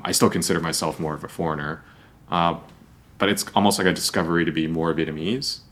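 A man's voice: sits low, fairly bright tone. A young man hosting a podcast, asking long, rambling, conversational questions. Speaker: podcast host. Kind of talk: podcast interview.

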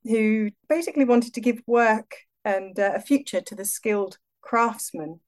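A woman's voice: a little high, normal speed. A woman co-hosting a podcast in a casual, chatty and effusive style with emphatic wording. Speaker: podcast co-host. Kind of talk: casual chat.

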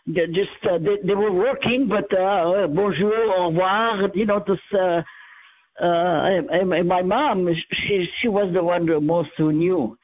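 A woman in her nineties, born in France and speaking, her Armenian, reminiscing haltingly and often searching for words. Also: lowish voice, slight background noise. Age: 90s. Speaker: interviewee. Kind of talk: reminiscing in casual conversation. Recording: tinny phone-like line, dry.

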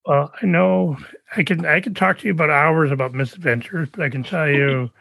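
A man hosting a casual chat, asking open questions in a measured way. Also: on the high side, vocal fry, a bit bright, no room sound, poor audio.

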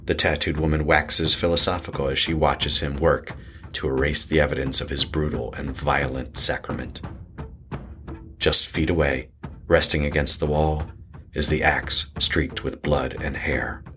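A man narrates a horror tale in an extremely low voice. His scripted delivery is measured and ominous, with faint music underneath. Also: little pitch movement, fairly bright tone.

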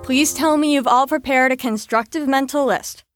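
Perky, high-voiced institution staffer woman voiced by an actress. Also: faint music behind, close to an everyday voice. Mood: chipper. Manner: perky, authoritative, brisk. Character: chipper, firm female mental facility staffer. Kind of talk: audio drama acting.

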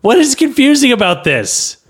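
A man's voice: very high-pitched, very animated, moderate pace, slightly rough. A man co-hosting a podcast, insistently and defensively standing up for his own script in casual banter. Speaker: podcast co-host, amateur screenwriter.

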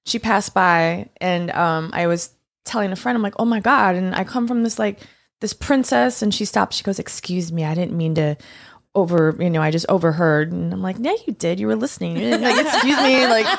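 A woman's voice: mid-range voice, brisk, creaky. A woman from East Texas chatting casually on a podcast, telling a rambling story with lots of restarts. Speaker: guest co-host.

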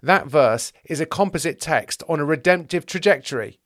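A man's voice: a little high, lively intonation, normal speed, no room sound, clean studio sound, pitched above the performer's own voice. A man playing an earnest, muddled disciple who quotes scripture pompously in a comedy sketch. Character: bumbling, earnest male disciple quoting scripture.

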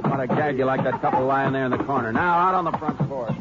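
An actor playing a terse, commanding Texas Ranger in a 1950s radio western. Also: male voice, mid-range voice, fast, very noisy, lightly acted. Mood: hard, commanding. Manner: terse, authoritative. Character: steady, commanding Texas Ranger lawman hero. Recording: muffled 1950s radio recording, music behind.

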